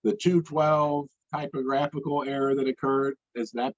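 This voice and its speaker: A man's voice: mid-range voice, fairly even intonation, normal speed, no echo. A man hosting an interview, asking questions in an easygoing way and giving frequent short acknowledgements. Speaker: interview host. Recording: dull, slightly muffled audio.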